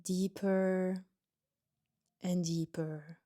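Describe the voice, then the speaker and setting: A woman guiding a yoga nidra meditation in a gentle, soothing manner, leaving pauses between short instructions. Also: lowish voice, flat delivery. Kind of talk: guided meditation.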